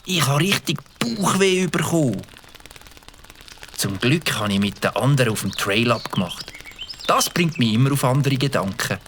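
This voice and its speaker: A nervous young boy with a Swiss German accent who dodges questions. The voice moves lively up and down. A faint hiss sits under it, as in a storybook radio play. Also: mid-range voice, moderate pace. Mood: worried. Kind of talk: children's audio drama acting.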